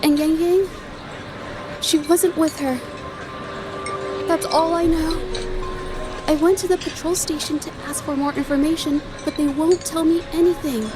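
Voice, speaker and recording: A woman's voice: sits high, steady tone, unhurried, pauses often. A woman voicing an anxious, earnest young girl in an anime-style video game. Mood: worried. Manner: earnest, hesitant. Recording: background music under the voice.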